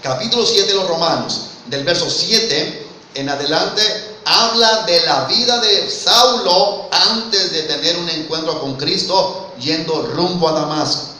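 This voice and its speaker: Mexican-accented male preacher, brightish and fairly high, earnest sermon delivery, heavy hall echo, mic from afar. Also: a little slow, distant mic. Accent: Mexican Spanish. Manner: earnest, keeps addressing listeners as brother, asks rhetorical questions. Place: church hall. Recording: very echoey hall, mic from afar, faint noise.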